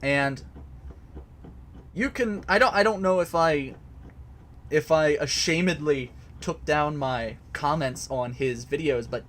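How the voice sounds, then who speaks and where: A man with a fairly high voice talks slowly and haltingly, stopping and restarting often as he answers his chat. He is recording at home in a basement, with a faint hum of background noise behind him. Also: fairly bright tone. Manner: hesitant, many restarts and fillers. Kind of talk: casual livestream explanation.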